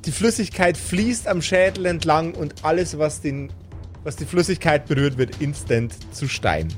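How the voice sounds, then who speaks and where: Male game master, fairly high voice, dramatic storyteller with casual asides, music underneath. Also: moderate pace. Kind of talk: live improvised tabletop game narration. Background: background music.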